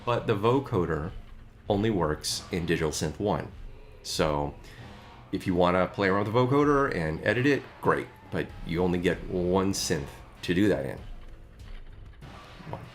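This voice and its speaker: A man's voice: sits low, lively intonation, normal speed. A middle-aged man talking casually about his music gear, chatty and a bit rambling.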